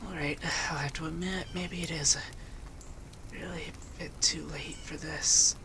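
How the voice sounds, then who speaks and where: A man playing an affectionate, teasing femboy monster companion in a soft-spoken ASMR roleplay, with an intimate, breathy, half-whispered delivery. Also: fairly high pitch, fairly even intonation, measured pace, background noise. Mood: sleepy musing.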